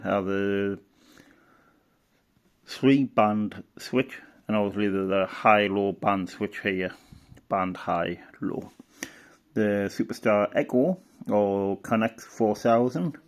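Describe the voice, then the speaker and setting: A middle-aged man giving a casual, rambling show-and-tell as a hobbyist, hesitant and thinking aloud. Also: lowish voice. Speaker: radio hobbyist presenter.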